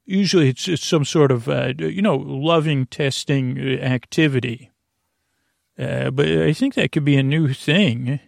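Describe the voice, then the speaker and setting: A man's voice: mid-range voice, a little slow, gravelly, no echo, studio quality. A man hosting a bedtime podcast in a meandering, stammering monologue full of whimsical, goofy tangents. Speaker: podcast host.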